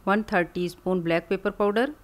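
A woman from Pakistan hosting a cooking channel, calmly explaining each step of a recipe in a voice-over. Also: medium pitch, brisk. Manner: calm, instructive, explains each step plainly.

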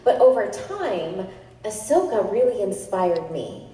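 A woman in her thirties answering fan questions on a convention panel, telling stories in a quick, animated way. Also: medium pitch. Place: large convention hall stage. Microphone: handheld mic heard through hall speakers. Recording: echoey hall recorded from far off.

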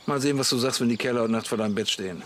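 An actor playing a stubborn, alarmist husband who bosses people around in a German radio comedy drama. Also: male voice, medium pitch, very fast, slight background noise, close to an everyday voice. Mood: bossy. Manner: bossy, insistent. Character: stubborn, alarmist husband organising campsite night watch.

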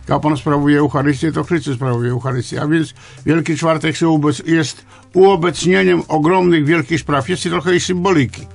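An older man, a priest, answering in a radio interview in a deliberate, halting, didactic way. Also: fairly high pitch, steady tone, creaky, no room sound, soft background music. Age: older, around 70. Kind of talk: interview answers, explaining church liturgy. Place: small radio studio, indoors. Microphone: studio microphone on boom arm.

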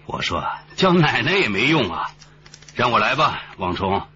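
Radio drama: steady senior detective, very expressive intonation, Mainland Mandarin accent, dull recording. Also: male voice, mid-range voice, normal speed, slight background noise. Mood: calm, chiding. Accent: Mainland Chinese Mandarin. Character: seasoned, steady senior male police detective. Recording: muffled broadcast radio drama with music.

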